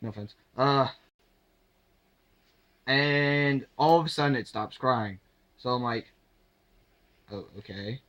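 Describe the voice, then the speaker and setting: Bright-voiced young man telling a casual story. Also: medium pitch, highs cut off. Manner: animated, sweary, halting, acts out dialogue. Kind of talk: casual anecdote told to camera.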